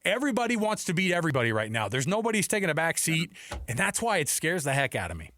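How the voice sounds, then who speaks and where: A man's voice: a little high, big pitch swings, fast talker, sharp tone, a little hiss or hum. A man hosting a sports radio show, chatting casually about the games.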